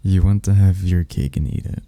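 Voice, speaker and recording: A man voicing a seductive, smug demon in human form, smooth-talking, teasing and husky, in an intimate ASMR roleplay. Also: sits low, dark tone, no room sound. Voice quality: gravelly, husky.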